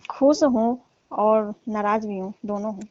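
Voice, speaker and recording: A woman's voice: medium pitch, measured pace, a little mellow, dry recording, lightly acted. A woman playing a teasing, mock-annoyed middle-aged auntie chatting casually and coyly on a phone call. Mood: teasing complaint. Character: scolding, coy neighbourhood auntie. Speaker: caller in a staged comic phone call. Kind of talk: casual flirtatious phone chat. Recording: dull lo-fi phone-call audio.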